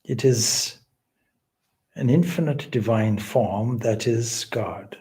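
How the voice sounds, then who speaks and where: A man leading a guided meditation as a spiritual teacher, speaking in measured, deliberate, solemn phrases with long pauses. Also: medium pitch, mellow.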